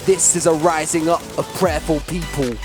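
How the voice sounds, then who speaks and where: A narrator delivers a spoken-word piece in a steady, declamatory rhythm. The voice sits at a middle pitch. A clear hip hop beat plays underneath. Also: normal speed. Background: hip hop music.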